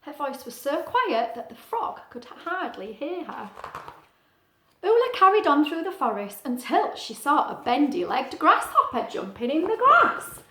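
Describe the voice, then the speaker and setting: A woman reads a children's story in a high, very lively voice, singsong and expressive, pausing for chatty asides to her young listeners. The room adds a noticeable echo. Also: a little hiss or hum. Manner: animated, singsong, asking little questions. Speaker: teacher reading a picture book to children.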